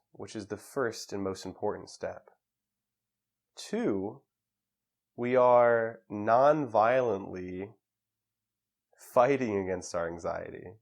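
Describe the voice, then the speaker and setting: A man leading a guided meditation in a calm, measured way with pauses between phrases. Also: on the low side.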